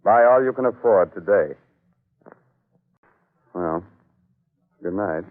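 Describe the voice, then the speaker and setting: Spooky jokey old time radio host guy, low sly voice, dull 40s recording. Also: animated, slow. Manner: mock-spooky, sly, punning. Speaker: radio mystery show host. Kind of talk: 1940s radio hosting. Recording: muffled old radio recording, faint music.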